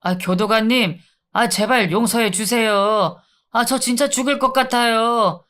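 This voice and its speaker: A man voicing a sneering, wheedling male convict in drug withdrawal, who taunts and then begs with drawn-out sighs. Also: extremely high pitch, pushed and bright, voice pushed up, exaggerated voice. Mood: pleading.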